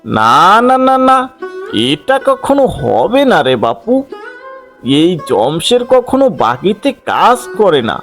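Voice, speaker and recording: A male actor plays a heartless, money-minded village headman in a cartoon fairy tale. He is haughty and dismissive, with big swings of pitch. Music plays behind him. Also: huge pitch swings, takes it slowly, a bit bright, heavily acted. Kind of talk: cartoon folk tale dialogue. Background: background music.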